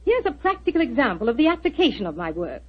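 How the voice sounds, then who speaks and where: An actress playing a poised, self-assured woman scientist in a 1940s radio drama, calm and gracious as she explains her invention, on a lo-fi old broadcast recording. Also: fairly high pitch, fast, slight background noise.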